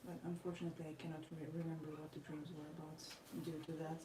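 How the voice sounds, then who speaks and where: A tired woman from Croatia with a Croatian accent, chatting casually while she draws, speaking in measured, formal phrases with frequent pauses. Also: sits low, completely flat delivery. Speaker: artist vlogger. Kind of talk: casual chat while drawing. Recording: roomy, distant mic with noisy ambience.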